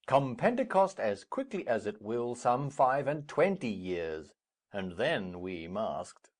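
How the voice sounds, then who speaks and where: An actor playing a jovial, blustering old lord in theatrical Shakespearean style, booming and declaiming. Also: male voice, big pitch swings, slow pace, a little mellow. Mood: amused, disputing.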